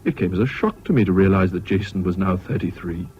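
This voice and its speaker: An actor playing a man looking back on a friend, narrating a radio drama in a measured, literary, reflective manner. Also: low voice, a little hiss or hum, close to an everyday voice. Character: reflective male friend narrating his memories. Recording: dull, muffled old radio recording.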